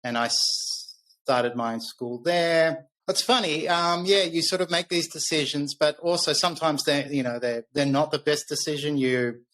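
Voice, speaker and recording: A man's voice: fairly high pitch, normal speed, a bit bright. A middle-aged man with an Australian accent telling personal stories conversationally as a podcast guest, candid and reflective.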